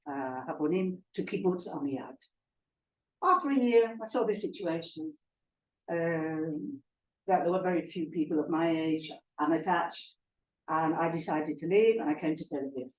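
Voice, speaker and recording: An older woman from London with a softened London accent, giving a chatty talk to an audience with many fillers and restarts. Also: on the low side. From England. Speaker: guest speaker. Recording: echoey hall, heard from far off.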